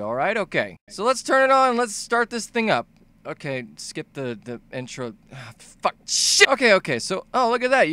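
A man delivers comedic video game commentary in a sharp, fairly high voice. His pitch swings widely as he exaggerates everything. He swears freely and plays up both confusion and excitement. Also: clear, resonant tone. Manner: profane, exaggerated, bouncing between mock dumb and excited shouting. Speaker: video game commentator, fan-dub voice-over. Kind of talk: animated comedic game-review voice-over.

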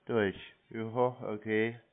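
A man's voice: lowish voice, flat delivery, extremely slow. A man chatting casually on the phone, playing naive, teasing and repeating his questions. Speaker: prank call-taker answering scam callers. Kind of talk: casual phone prank chat. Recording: narrow-band phone-quality call.

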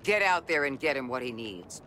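Commanding game villain, curt, scheming, forceful, animated pitch. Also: male voice, brisk, slight background noise. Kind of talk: video game character acting.

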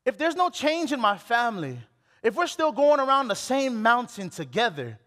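A man in his late twenties from Hawaii, preaching a sermon on a church stage with a headset mic, animated and full of restarts. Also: very high-pitched, moderate pace. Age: late 20s. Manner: animated, exclamatory, with many restarts. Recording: long hall reverb.

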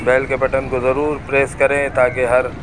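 A man slowly narrating a market street tour from a bike, with traffic and crowd noise behind him. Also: mid-range voice, completely flat delivery. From Pakistan. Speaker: vlogger. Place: busy market street outdoors. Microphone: camera on a moving bike. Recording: noisy outdoor street recording.

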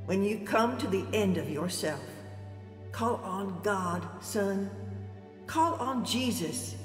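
A pious old grandmother in a radio drama. She counsels slowly and solemnly, her words hanging in a long, dreamlike reverb over music. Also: medium pitch, animated. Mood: earnest pleading. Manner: solemn, preachy, measured. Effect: dreamlike reverb of a remembered voice.

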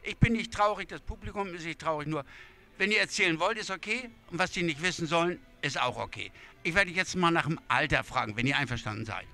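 An older man runs a street interview with a band. His questions come in a chatty, friendly flow, his voice sitting a little high. He speaks outdoors into a handheld mic. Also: animated, fast, creaky, bright, forceful tone. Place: outdoor city square.

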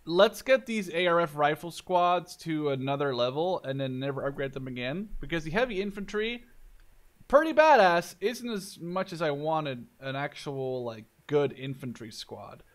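A man in his twenties giving casual gameplay commentary, thinking aloud in an informal, sweary way. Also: fairly high pitch. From Canada-based Dutchman. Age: 20s. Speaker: gaming video host. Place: indoors, voice over gameplay.

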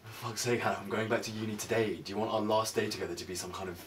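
A man's voice: fairly low pitch, fairly even intonation, very fast, slight background noise, close to an everyday voice. An actor playing a frustrated young male student, speaking informally and swearing in a small bedroom. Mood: pleading, frustrated. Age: young adult. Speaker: film actor. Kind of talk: naturalistic film dialogue.

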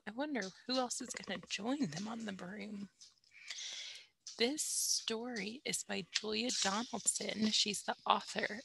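Bright-voiced woman reading a storybook. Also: mid-range voice, creaky, breathy edge, rough recording. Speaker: teacher reading a storybook aloud. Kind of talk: expressive children's read-aloud. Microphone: headset.

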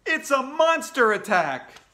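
A man acts out frightened storybook schoolkids in full panic. The voice leaps high and bright, yelling every line. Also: big pitch swings, slow pace, full character voice. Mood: terrified. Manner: shrieking, yelling. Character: terrified schoolchildren screaming in a panic.

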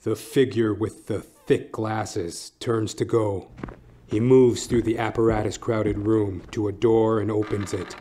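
A man narrating a scripted horror story in a deliberate, dramatic, suspenseful manner. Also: lowish voice, fairly even intonation, dark tone, a little hiss or hum. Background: music.

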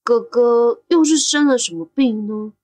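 Woman as a high-voiced, anxious young boy. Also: unhurried, a little mellow, lightly acted. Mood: pondering, uneasy. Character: young teenage boy, curious, anxious, easily frightened. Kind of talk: Mandarin audio drama acting.

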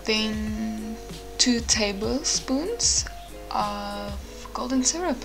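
Female vlogger, twenties, fairly high pitch with creaky fry, informal and direct, over clear background music. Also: lively intonation, extremely slow, poor audio. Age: 20s. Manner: informal, addressing viewers directly. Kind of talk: casual vlog chat and recipe voice-over.

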